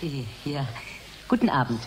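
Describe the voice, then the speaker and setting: Lively-pitched female research doctor. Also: normal speed. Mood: matter-of-fact. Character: female doctor, research section head, interviewed on radio. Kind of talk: radio play interview. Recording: noisy 1980s radio recording.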